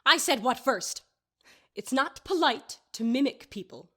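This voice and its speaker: A woman's voice: high-pitched, lively intonation, measured pace, sharp tone, full character voice. A woman playing a haughty, easily offended older lady in an audio drama, formal and scolding in manner. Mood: indignant.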